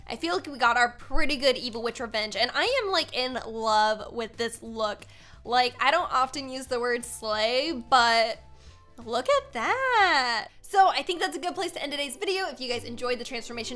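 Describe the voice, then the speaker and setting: Bright, high-voiced young American woman gamer. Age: 20s. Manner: animated, playfully dramatic. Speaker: gaming video host. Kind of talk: excitable gameplay commentary with casual chat to viewers. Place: small home room. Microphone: desk microphone, close.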